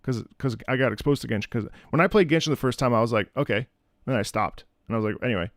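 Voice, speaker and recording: A man's voice: medium pitch, fast, dry recording. Man streamer rambling in a dry room. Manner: rambling, opinionated, repeats and restarts phrases. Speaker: streamer and content creator. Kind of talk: casual livestream chat with viewers. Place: indoors at home.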